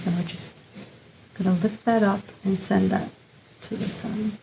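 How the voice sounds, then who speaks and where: Female meditation guide, gentle and unhurried, informal instruction, noticeable vocal fry, light ambient noise. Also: mid-range voice, little pitch movement. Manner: gentle, unhurried, pausing between short phrases.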